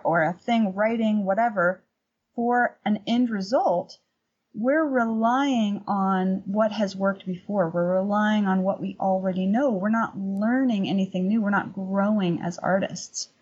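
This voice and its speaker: A middle-aged woman chatting casually as an interview guest and painting teacher, telling anecdotes in an animated, emphatic way. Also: medium pitch, measured pace, husky, lo-fi sound.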